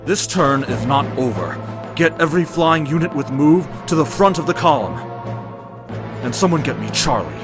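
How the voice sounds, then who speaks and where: A man's voice: fairly high pitch, very animated, normal speed, over music. A man playing a proud, righteous noble warlord in a motion comic, with a formal, commanding manner.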